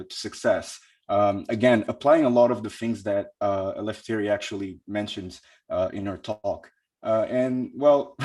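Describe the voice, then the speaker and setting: A man's voice: medium pitch, a little mellow. Hesitant young man from Portugal. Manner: hesitant, many fillers and restarts. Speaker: conference speaker. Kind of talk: conference talk.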